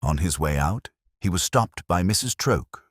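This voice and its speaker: A man narrating a literary mystery story in a measured, formal way. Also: low-pitched, expressive, slightly rough, dry recording, clean studio sound.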